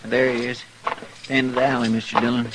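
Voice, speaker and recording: An actor playing an earnest, deferential frontier deputy with a rural American drawl in an old-time radio western. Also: male voice, medium pitch, little pitch movement, brisk, natural voice. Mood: anxious. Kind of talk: 1950s western radio drama acting. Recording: noisy old radio broadcast recording.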